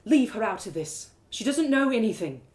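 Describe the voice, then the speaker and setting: A woman's voice: fairly high pitch, big pitch swings, moderate pace, darkish tone, voice pushed up. A woman voicing a guarded, world-weary female elf fighter, blunt and reserved, in a told fantasy story. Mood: tense, firm.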